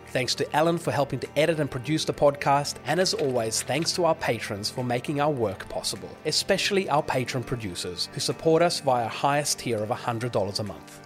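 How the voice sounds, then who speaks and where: Man, podcast host, wry and measured, mid-pitched, light music behind. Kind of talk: interview and scripted sign-off.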